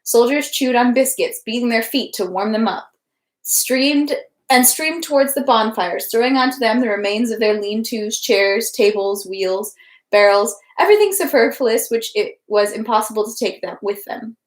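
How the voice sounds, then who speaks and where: A woman's voice: on the high side, moderate pace. A woman hosting a read-aloud livestream, speaking informally in an echoey indoor room. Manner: informal, rambling, self-interrupting. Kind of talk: casual livestream chat, then audiobook-style reading.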